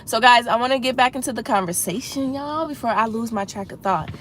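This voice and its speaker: Female livestream host, congested and slightly froggy, earnest and encouraging, low rumble in the background. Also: brisk, a bit bright. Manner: earnest, encouraging, talks straight to chat with many "you know"s. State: congested with a head cold. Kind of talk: casual livestream chat.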